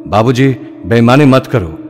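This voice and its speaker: A man's voice: medium pitch, animated, moderate pace, darkish tone, natural voice. A man playing a stern Punjabi guard in an audio play, giving curt scolding orders. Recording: long hall reverb, music behind.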